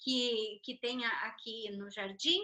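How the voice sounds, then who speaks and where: A middle-aged Brazilian woman hosting a casual interview, telling lively stories and getting louder as she recounts them. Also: a little high, slow pace. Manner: lively storyteller, rising loud when recounting anecdotes. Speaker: host.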